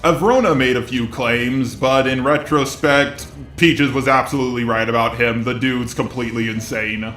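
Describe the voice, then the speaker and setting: A man's voice: medium pitch, fairly even intonation, normal speed, fairly bright tone. Echoey synthetic male robot narrator. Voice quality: synthetic robot text-to-speech voice. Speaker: commentary video narrator. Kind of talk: scripted drama commentary narration. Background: background music.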